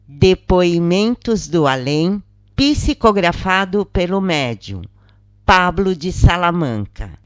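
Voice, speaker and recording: A Brazilian narrator reading a scripted first-person spiritual account in a measured, solemn way, in short phrases. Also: mid-range voice, darkish tone, no room sound, clean studio sound.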